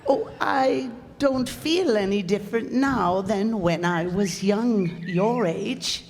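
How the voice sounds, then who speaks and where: Woman acting elderly widow, slow and flustered, formal wording, wistful and indignant, radio drama, echoing hall, noisy. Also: medium pitch, expressive, darkish tone. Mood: gently chatty. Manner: flustered, stammering, formal old-fashioned speech. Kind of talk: stage radio-play acting. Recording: echoey hall, noisy live stage recording.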